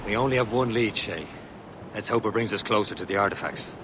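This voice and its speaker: A man playing a steady, loyal Assassin in a video game, talking in a dry, matter-of-fact way with gruff friendliness. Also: on the low side, brisk, close to an everyday voice. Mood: purposeful. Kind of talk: video game dialogue acting. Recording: noisy outdoor ambience behind.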